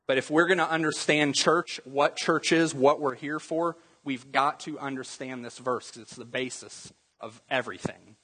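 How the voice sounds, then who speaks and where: Male pastor, slightly higher voice, a little husky, chatty teaching sermon, long hall reverb. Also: fairly bright tone. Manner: conversational teaching, quizzing the congregation with rhetorical questions.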